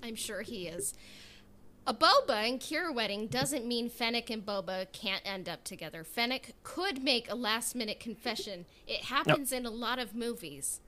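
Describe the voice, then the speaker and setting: Bright, high-voiced woman podcaster. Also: expressive, normal speed. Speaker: podcast co-host. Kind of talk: casual playful chat.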